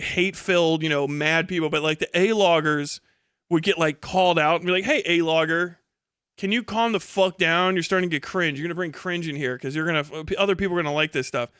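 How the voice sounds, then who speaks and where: A man with a fairly high voice rants off the cuff to his livestream chat. He swears constantly and sounds mocking and animated. The sound is dry and close, as on a streaming mic. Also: fast, clean tone, no echo. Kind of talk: casual livestream rant to chat.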